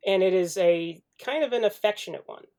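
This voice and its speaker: A man's voice: sits high, a little fast, a little mellow, clean tone. Male podcast panelist, analytical but casual film talk, thoughtful, self-qualifying delivery.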